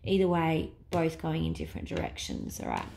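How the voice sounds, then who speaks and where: A woman reading tarot cards in a casual way while losing her voice to a cold, thinking aloud with many pauses and fillers and now and then dropping to a whisper. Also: low-pitched, huge pitch swings, gravelly, noisy background. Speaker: tarot reader.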